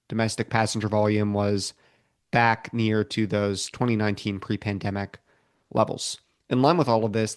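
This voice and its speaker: An American man co-hosting a podcast, explaining things in a measured way from prepared notes. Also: fairly low pitch, no echo, clean studio sound. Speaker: podcast co-host.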